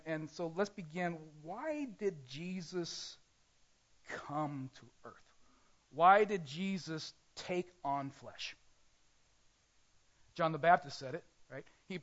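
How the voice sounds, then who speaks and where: Man preaching a casual sermon, lo-fi. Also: fairly high pitch. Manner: conversational, self-correcting preaching. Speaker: pastor.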